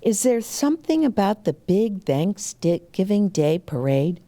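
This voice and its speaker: A woman reading the lines of a bookish, helpful young schoolgirl in a storybook, deliberate and encouraging. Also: mid-range voice, very animated, warm tone. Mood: gentle, encouraging.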